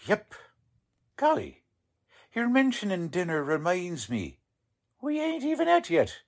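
An actor playing a folksy, plain-spoken police lieutenant in a 1940s-style radio drama, talking in slangy, ungrammatical phrases. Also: male voice, sits high, huge pitch swings, measured pace, exaggerated voice. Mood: genial, folksy. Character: blunt, folksy male police lieutenant, none too polished.

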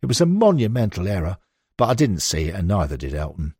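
A man plays a frank male football manager looking back in an audiobook interview. He is blunt and conversational, his pitch leaping about for emphasis, recorded dry and close. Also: very animated, quick pace, darkish tone, slightly rough, no echo, exaggerated voice. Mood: rueful, exasperated. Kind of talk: acted interview quotations in an audiobook.

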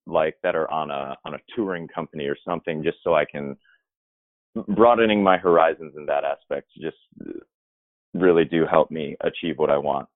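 Low-voiced man on a tinny line. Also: moderate pace, no room sound. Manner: thoughtful and rambling, with many restarts and fillers. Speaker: musical theater performer. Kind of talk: reflective interview answers. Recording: dry, tinny phone-like line.

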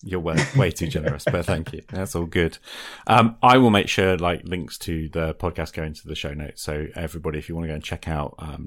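Deep-voiced British man hosting a podcast. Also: a bit bright. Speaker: podcast host. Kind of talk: casual interview chat and sign-off.

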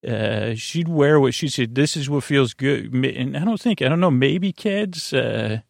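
A man from the United States with a gravelly, creaky voice, hosting a bedtime podcast in a halting, meandering, tangent-prone monologue. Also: mid-range voice, lively intonation, no echo, clean studio sound. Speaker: podcast host.

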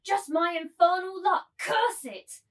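A woman's voice: very high-pitched, measured pace. A woman playing a sadistic, bullying brothel keeper turned man in a dramatic reading, commanding and relishing every threat. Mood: angry, cursing. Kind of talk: dramatic table reading of a novel.

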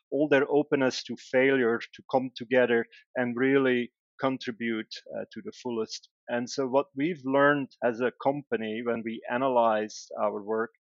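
Belgian-born male guest, flat delivery, dry and slightly dull recording. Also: mid-range voice, dry recording. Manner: thoughtful, explanatory, with filler "uh"s. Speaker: guest, corporate executive. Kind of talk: conversational interview answers.

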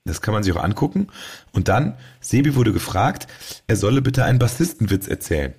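A man's voice: on the low side, big pitch swings, a little fast, a little mellow, husky. A man hosting a podcast in casual, conversational chat. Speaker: podcast host.

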